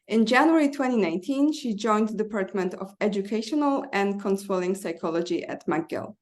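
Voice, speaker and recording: A woman hosting an interview, reading a formal introduction of her guest. She speaks with care and deliberation, pausing on small fillers before she asks her questions. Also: medium pitch, darkish tone. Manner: careful, formal, with hesitant fillers. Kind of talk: reading a scripted introduction, then asking questions.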